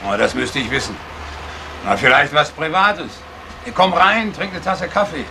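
A man's voice: fairly high pitch, normal speed. An actor playing a friendly, easygoing male employee in a German radio crime drama. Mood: friendly, casual. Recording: clearly noisy old radio play recording.

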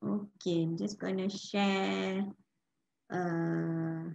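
A woman's voice: fairly low pitch, little pitch movement, drawn-out words, vocal fry, a little mellow. A woman in her thirties with a Malaysian accent, teaching an online class informally and often checking in with listeners over a headset on a video call. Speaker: university lecturer leading an online training. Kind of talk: interactive online lecture. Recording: dull, lo-fi video-call audio.

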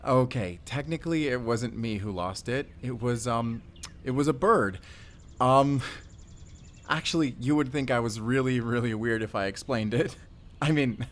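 A man's voice: medium pitch, close to an everyday voice. A man playing an awkward, friendly, bird-loving single dad who rambles apologetically in an audio roleplay. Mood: flustered. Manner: flustered, apologetic, chatty with nervous chuckles. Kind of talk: audio roleplay addressed to the listener.

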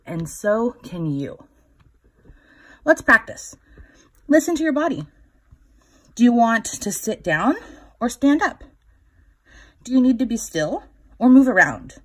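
A woman reading a picture book aloud to young children in a gentle, instructive way, with a slightly husky voice. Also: mid-range voice. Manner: gentle, instructive, pausing to put questions to the child listener. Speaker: children's story reader.

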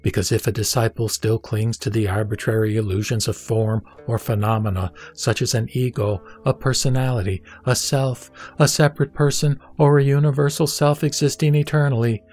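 A man playing the Buddha, a wise, composed spiritual teacher reciting sutra teachings in a solemn, measured, instructive manner. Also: fairly low pitch, steady tone, darkish tone, breathy edge, faint music behind. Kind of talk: scripted sutra audio drama recitation.

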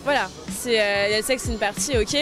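A young woman answering a street interviewer on a busy city sidewalk, frank and thoughtful with pauses, into a handheld mic. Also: medium pitch, over music. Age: young adult. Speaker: passer-by interviewee. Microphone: handheld mic held by interviewer.

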